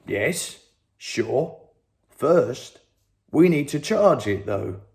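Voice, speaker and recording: A man voicing a kindly old grandfather in a children's story, speaking low and softly. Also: expressive, drawn-out words, gravelly, exaggerated voice. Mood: gentle.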